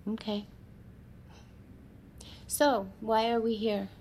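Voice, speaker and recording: A mature woman in an audio drama, frank and teasing. Her voice is creaky, with faint ambient noise around it. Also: fairly high pitch, little pitch movement, slow, darkish tone. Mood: guarded, frank. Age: middle-aged or older. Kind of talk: naturalistic audio drama acting.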